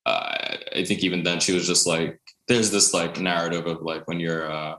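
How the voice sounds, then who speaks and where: Deep-voiced Brooklyn man in an echoey room. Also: little pitch movement, normal speed, sharp tone. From Brooklyn, New York. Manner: chatty, many fillers, laughs often. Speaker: guest, contemporary dancer. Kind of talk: casual interview storytelling.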